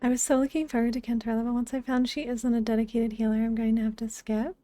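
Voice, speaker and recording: A woman streaming a game, chatting casually and reacting softly in a cooing, unhurried way, close to the microphone. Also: a little high, level tone, dry recording.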